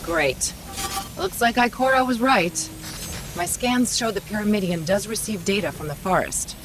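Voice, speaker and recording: A woman's voice: mid-range voice, background noise, lightly acted. A woman voicing a small, witty and cocky female AI companion in a video game, wry, self-assured and conversational. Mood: brisk, confident. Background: loud cinematic game music.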